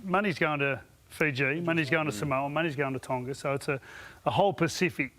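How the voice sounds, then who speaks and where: A man's voice: a little high, a little fast, pushed and bright. Inquisitive man asking panel questions. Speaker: panel host.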